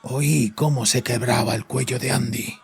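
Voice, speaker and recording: Actor as haunted man, heavily husky, creaky fry, bitter confessional monologue, horror audio fiction. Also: mid-range voice, fairly even intonation, moderate pace, heavily acted. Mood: haunted, cold horror. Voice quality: raspy, husky. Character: haunted, guilt-ridden father confessing to psychiatrist, bitter.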